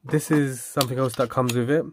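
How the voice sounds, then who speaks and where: A man casually demonstrating and reviewing a product, speaking haltingly as he lists features and repeats himself. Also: medium pitch, steady tone, background noise.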